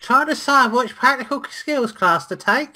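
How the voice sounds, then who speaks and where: A woman's voice: high voice, lively intonation, normal speed, sharp tone. A woman co-hosting a casual let's play, joking and teasing in a silly way.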